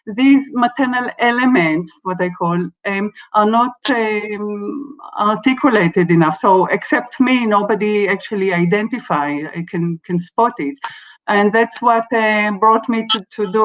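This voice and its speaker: A woman from Israel, an artist and researcher, answering a question in an online seminar with many pauses and restarts. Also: medium pitch, highs cut off. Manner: hesitant, many fillers and restarts. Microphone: video call.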